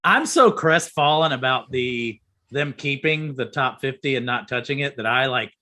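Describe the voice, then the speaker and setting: Bright-voiced man teasing about basketball. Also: medium pitch, lively intonation, normal speed. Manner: wry and teasing, laughs often. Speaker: podcast co-host. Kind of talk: casual sports chat.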